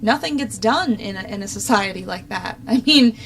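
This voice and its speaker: A woman's voice: mid-range voice, lively intonation, normal speed, vocal fry, a bit bright. Young woman commentator, noticeably noisy recording. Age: young adult. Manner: exasperated, sarcastic. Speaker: talk show host. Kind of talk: opinionated casual political commentary.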